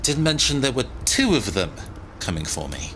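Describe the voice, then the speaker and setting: Lively, hesitant tech guy. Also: medium pitch, moderate pace, a little hiss or hum. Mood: suspicious, aggrieved. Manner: hesitant, chatty, slightly awkward. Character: hesitant, suspicious, mild-mannered male tech guy. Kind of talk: science fiction audio drama acting.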